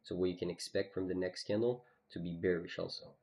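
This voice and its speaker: A man's voice: low-pitched, steady tone, fairly quick, a little mellow. A man streaming live, casually walking through his trades on a chart, with lots of "you know" and "okay". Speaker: trading streamer.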